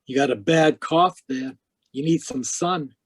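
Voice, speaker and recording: A man playing a wisecracking hardboiled private eye, higher-voiced. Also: measured pace. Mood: wry, world-weary. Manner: wry, terse, slangy delivery. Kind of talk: hardboiled 1940s radio detective narration.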